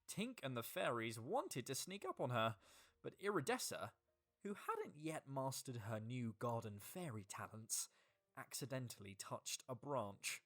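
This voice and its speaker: A woman reading a children's storybook aloud in an expressive, dramatic way. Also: very deep voice, a little slow. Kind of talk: scripted children's storybook narration. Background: music.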